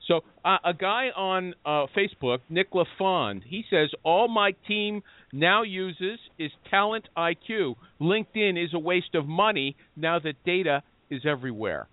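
A man hosting a casual panel chat, brisk and jokey as he steers the talk. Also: high voice, animated, no echo. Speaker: podcast host. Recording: tinny phone-line audio.

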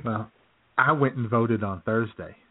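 A man co-hosting a chat show, telling a story in a relaxed way, often chuckling and clearing his throat. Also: mid-range voice, a little slow. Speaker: podcast co-host. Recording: phone-like lo-fi audio.

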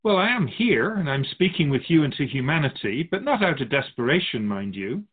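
Man playing a lively, husky otherworldly soul keeper. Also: a little high, narrow, tinny audio. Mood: grave, explanatory. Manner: measured, philosophical, musing, addressing humanity as an outsider. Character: wise, patient otherworldly keeper of souls, amused and reassuring. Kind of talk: radio drama dialogue.

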